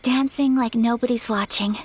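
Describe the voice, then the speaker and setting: An actress playing a graceful young noblewoman, speaking softly with measured, formal gentleness. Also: fairly high pitch, steady tone, slight background noise, lightly acted. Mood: gentle. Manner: soft, measured, formal speech. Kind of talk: game cutscene dialogue.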